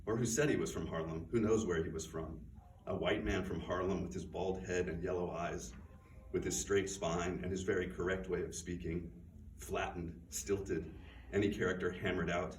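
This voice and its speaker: A man, an author, reading his own fiction aloud at a bookstore event in a measured, subdued way. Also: low voice, fairly even intonation. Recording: roomy, distant, faint noise.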